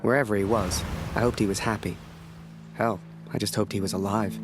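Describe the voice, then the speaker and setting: An actor playing a wistful young army photographer narrating in a game. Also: male voice, on the low side, fairly even intonation, fairly quick, music in the background, lightly acted. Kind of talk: scripted first-person game narration.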